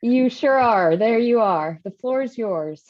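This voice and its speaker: A woman's voice: fairly high pitch, expressive, darkish tone. Woman chairing a meeting over dull call audio. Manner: friendly, brisk, chatty asides. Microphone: video call.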